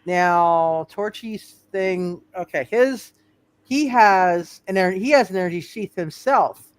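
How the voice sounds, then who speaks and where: A man running a tabletop superhero game as game master, talking casually and haltingly, often repeating words and phrases as he works out the rules. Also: sits high, clear, resonant tone.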